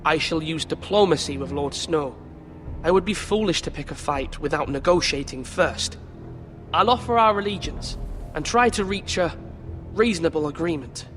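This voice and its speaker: A man's voice: on the high side, lively intonation, normal speed, fairly bright tone, in a large hall, faint music behind. A man playing an untested young lord who gives orders in a stern, commanding way. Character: young untested male lord, firm but unsure.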